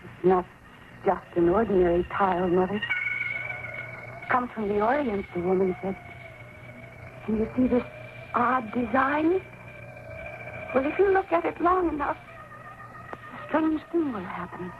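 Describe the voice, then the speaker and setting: A woman's voice: on the high side, expressive, a little slow, pauses often. An actress playing a determined young woman in an old-time radio horror drama, with an intense, earnest, dramatic delivery. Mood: intense, mysterious. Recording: tinny, very noisy old radio recording.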